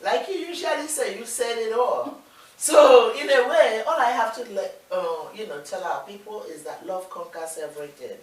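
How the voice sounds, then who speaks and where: A woman's voice: fairly high pitch, normal speed. A woman from Nigeria, co-hosting a talk show and often backing up her partner's points. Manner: affirming, frequent agreement. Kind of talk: casual discussion. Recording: echoey room, mic distant.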